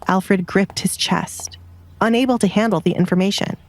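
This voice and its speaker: A woman's voice: medium pitch, moderate pace. A woman reading scripted romance fiction as an audiobook narrator.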